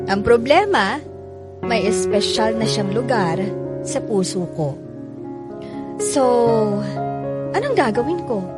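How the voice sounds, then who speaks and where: A young Filipina woman of radio drama, guarded and quick to bristle. Her voice sits a little high and is emotive and teasing. Music plays clearly behind her. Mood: vulnerable. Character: guarded, feisty young woman wary of a playboy suitor.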